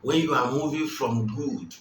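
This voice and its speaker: A man preaching a sermon, speaking slowly and with emphasis, repeating his key phrases. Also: mid-range voice, slight background noise. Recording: roomy, distant mic, faint ambience.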